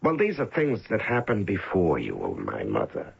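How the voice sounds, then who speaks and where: A man's voice: low voice, wildly swooping pitch, normal speed, creaky, breathy edge, heavily acted. An actor playing a troubled grown son who evasively keeps his past from his mother, in an old radio drama. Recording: muffled old radio broadcast.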